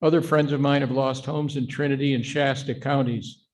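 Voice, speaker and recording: A middle-aged or older man giving an informal, explanatory talk to a congregation in an earnest, measured way. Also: mid-range voice, steady tone. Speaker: guest speaker at a congregation service.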